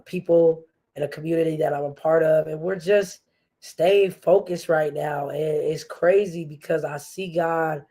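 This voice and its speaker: Woman podcast host, stuffy nose, slow and earnest, lots of ums and restarts. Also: low-pitched, gravelly, warm tone. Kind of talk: faith-based motivational podcast talk.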